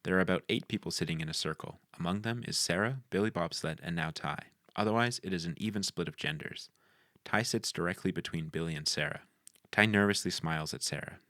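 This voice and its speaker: A man's voice: sits low, a little fast, bright, forceful tone, dry recording, clean studio sound. A man reading scene headings and stage directions as narrator at a script table read.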